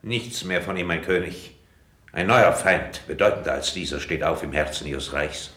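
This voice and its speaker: An actor playing a grave, scheming royal courtier in a classical radio drama, formal and restrained. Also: male voice, low-pitched, normal speed, a bit bright. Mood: urgent, warning. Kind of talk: classical 1950s German stage-style radio acting. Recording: echoey room.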